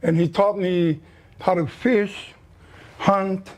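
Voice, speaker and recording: High-voiced man with Hawaiian Pidgin accent. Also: fairly even intonation, slow, a little mellow. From Niihau, Hawaii. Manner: plain-spoken, short answers. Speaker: guest. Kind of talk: casual storytelling.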